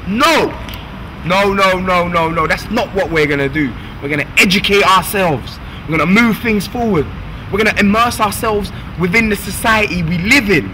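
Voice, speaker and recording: A man's voice: high-pitched, pushed and bright, slight background noise, rough recording. A young man delivering a rousing speech, firing off rhetorical questions and repeated phrases. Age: young adult. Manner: rhetorical, repetitive, rising urgency. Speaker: youth speaker giving a speech.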